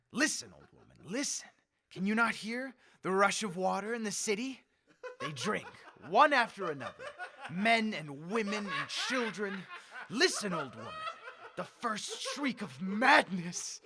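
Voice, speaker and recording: A man playing a megalomaniac leader bent on mass death in a radio horror drama, theatrical and gloating, moving between hushed menace and shrieks. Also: high-pitched, big pitch swings, a little slow, crowd noise, full character voice. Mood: sinister relish, then frenzied glee. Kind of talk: old-time radio horror drama acting.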